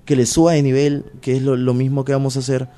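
Peruvian-accented wrestler, mellow-toned, loose slangy chat, filler-heavy. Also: male voice, mid-range voice, fast. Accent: Peruvian Spanish. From Peru. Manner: animated, slangy, many fillers. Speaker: pro wrestler guest. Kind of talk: casual interview chat.

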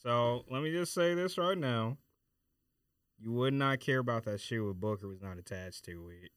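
A man's voice: medium pitch, moderate pace, clean tone, dry recording, studio quality. A man chatting casually as a podcast guest, giving skeptical, informal opinions about sneakers. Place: dry studio.

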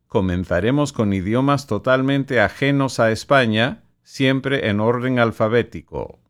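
A man whose first language is English, hosting his own podcast in a measured, announcer-like way with sponsor reads. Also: mid-range voice, clear, resonant tone. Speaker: podcast host and director.

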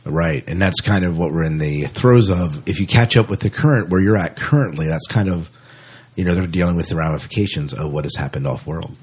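A man hosts a casual podcast interview, and his voice sits very low with a husky edge. He rambles and circles back on himself, laughing along with his guest. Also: brisk. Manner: rambling, many restarts, laughs often. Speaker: podcast host.

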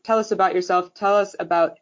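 A woman hosting a podcast, reading a scripted intro in a clear, measured way and then interviewing a guest. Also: medium pitch, steady tone, muffled recording. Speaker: podcast host.

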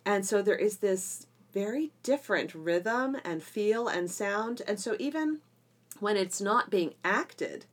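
A woman's voice: medium pitch, lively intonation, slow. A woman co-hosting a homeschooling podcast in casual, enthusiastic conversation. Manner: enthusiastic, self-described geeky. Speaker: podcast co-host and drama teacher.

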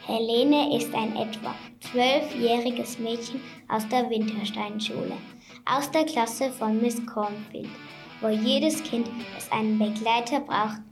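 High-voiced young female narrator with music behind. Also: rough recording. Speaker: narrator of a children's audio play. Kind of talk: scripted narration, read aloud.

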